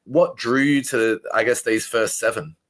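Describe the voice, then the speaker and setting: A man's voice: mid-range voice, a little slow, fairly bright tone. Chatty man hosting with rambling enthusiasm. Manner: enthusiastic, rambling, many "like" fillers. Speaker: interview host. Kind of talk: casual interview chat.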